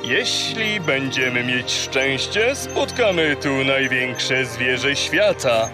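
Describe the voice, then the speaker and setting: An actor playing a gruff, boastful sea god who guides and teases like a showman in a children's audio drama. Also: medium pitch, animated, slow pace, music in the background. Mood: bossy, teasing.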